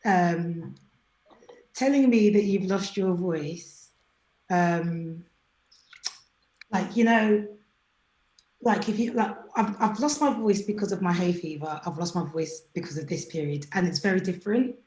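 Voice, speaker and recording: A woman's voice: on the low side, measured pace, pauses often, reverberant, low-quality recording. A woman as an interview guest, talking candidly and animatedly, sometimes acting out what other people said to her. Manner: chatty, animated, acts out quoted people.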